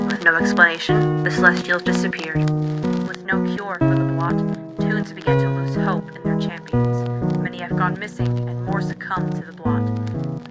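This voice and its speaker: A woman reading a scripted fantasy prologue in a solemn, dramatic storytelling manner. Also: on the low side. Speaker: narrator. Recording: music loud behind the voice. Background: instrumental music.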